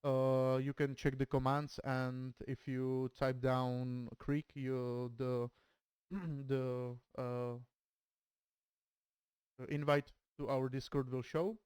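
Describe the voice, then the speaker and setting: A man from the Czech Republic with a Czech accent, a native Czech speaker commentating a game match in careful, deliberate English. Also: medium pitch, level tone, a little mellow, clear, resonant tone, dry recording. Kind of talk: streamed game commentary, reading a dramatic intro and team roster.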